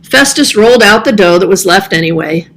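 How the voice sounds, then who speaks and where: Woman reading a kids story out loud, bright voice, normal pitch. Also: expressive, brisk. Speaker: storybook reader. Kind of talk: scripted children's story narration.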